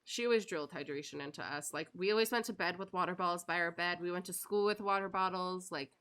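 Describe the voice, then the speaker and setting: Woman, 30s, live streamer: rambling storyteller, casual swearing, crisp and tense on top of a slightly low pitch. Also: fast talker, fairly bright tone. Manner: chatty storyteller, swears casually, laughs often. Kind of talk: casual chat while gaming.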